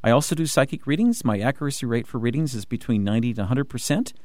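Excited radio guy hosting his show, stumbles and restarts a lot, dry close studio sound. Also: mid-range voice, no echo. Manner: excited, rushing, many restarts and fillers. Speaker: radio host.

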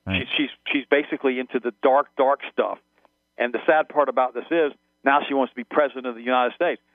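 A man speaking quickly over a tinny phone line, heard dry with no room around him. He rambles, stumbles and restarts, and German was his first language. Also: mid-range voice, dry recording. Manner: rambling, repeats words and restarts often. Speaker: guest. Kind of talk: phone-in radio interview.

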